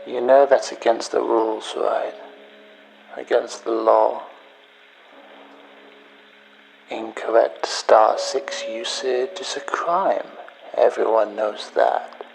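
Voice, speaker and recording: A man playing a bitter, betrayed man leaving a cold, accusing message in an audio drama, in a hushed, deliberate, taunting manner. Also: medium pitch, fairly even intonation, creaky, a little hiss or hum, low-quality recording. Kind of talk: dramatic audio story monologue.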